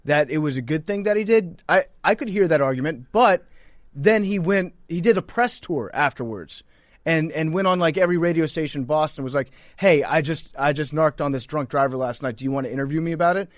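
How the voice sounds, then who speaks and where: A man with a slightly high voice talks sports casually as a podcast co-host. He tells stories in loose, informal, profane language, and the recording is dry and close. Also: fairly quick, no room sound.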